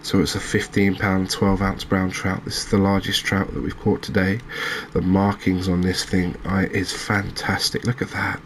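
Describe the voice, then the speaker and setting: A man giving gentle, unhurried ASMR commentary as he plays a game, pausing and hesitating as he goes. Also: low-pitched, completely flat delivery, slight background noise. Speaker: host of a gaming channel. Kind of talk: ASMR let's-play commentary.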